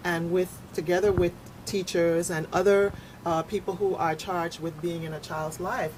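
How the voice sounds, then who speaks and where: Woman on a discussion panel, lowish voice, measured and earnest, noisy background. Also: fairly even intonation, darkish tone. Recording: clear background noise.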